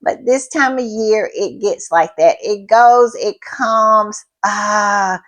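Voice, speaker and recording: A woman hosting her own channel in casual chat, her voice froggy and hoarse, sounding tired and repeating her thank-yous. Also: mid-range voice, a bit bright. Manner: drawn-out, repetitive thank-yous and southern-style "y'all" asides. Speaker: channel host.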